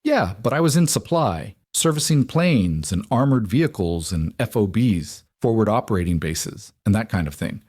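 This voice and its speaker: A man's voice: lowish voice, expressive, normal speed, hardly any pauses, darkish tone, no echo, studio quality. A man voicing a goofy, chatty male office neighbor who rambles and cracks jokes.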